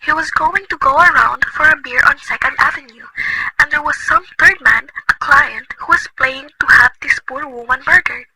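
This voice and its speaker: Woman doing a high-pitched frantic invalid lady, demanding, loud hiss behind. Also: moderate pace, close to an everyday voice. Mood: anxious. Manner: shrill, agitated, imperious. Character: nervous bedridden wealthy woman, demanding. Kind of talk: amateur radio play acting. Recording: loud noisy recording.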